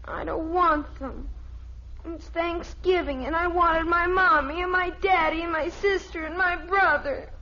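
A woman's voice: very high voice, steady tone, a little slow. An actress playing a small, sensitive, stubborn girl in an old American family radio comedy, with a plaintive, childlike delivery. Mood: tearful, lonesome. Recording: noisy dull old radio recording.

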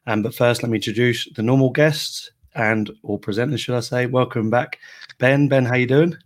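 Bloke, croaky from football, chatty host. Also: male voice, medium pitch, normal speed. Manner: chatty, reads out listener greetings. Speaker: podcast host. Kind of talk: casual football chat.

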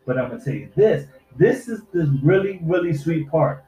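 A man's voice: fairly high pitch, lively intonation, normal speed, warm tone, faint music behind. Preachy man in his forties, roomy. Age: 40s. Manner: preachy, profane life-advice lecturing. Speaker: podcast co-host. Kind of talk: casual podcast chat. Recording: roomy, dull lo-fi sound.

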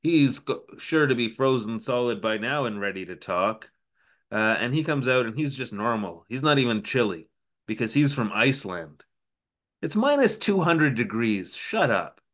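A man's voice: mid-range voice, lively intonation, moderate pace, fairly bright tone. A man co-hosting a podcast, recapping an old TV episode in casual, sarcastic chat. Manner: sarcastic, recapping scenes with quoted asides. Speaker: podcast co-host.

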